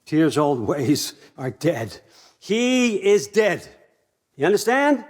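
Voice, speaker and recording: A man's voice: fairly high pitch, sing-song, slow, full character voice. An older American man acting in a video game as a scheming, controlling old father god, wry, folksy and conversational as he manipulates. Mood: insistent, pressing.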